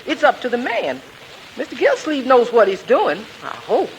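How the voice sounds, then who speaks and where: A woman's voice: on the high side, sing-song, moderate pace. A woman acting a motherly, folksy household cook in a 1940s radio comedy, colloquial and reassuring. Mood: diplomatic. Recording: hissy old radio recording.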